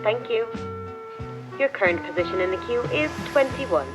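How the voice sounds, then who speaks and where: A woman's voice: on the high side, animated, normal speed, natural voice. A woman playing an automated helpline announcer, reading formal, impersonal hold messages. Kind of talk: scripted recorded phone announcement. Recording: dull phone-line sound with music.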